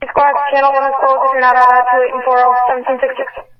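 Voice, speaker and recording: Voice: sits high, monotone, rapid-fire, lo-fi sound. A police dispatcher speaking in clipped, procedural phrases over a police radio transmission. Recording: distant police scanner radio audio.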